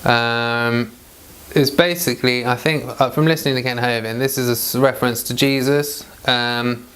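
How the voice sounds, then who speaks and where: A man with a clear voice, reading scripture aloud with informal asides. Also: mid-range voice, steady tone, normal speed, fairly bright tone, a little hiss or hum. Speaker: Bible reader and commentator.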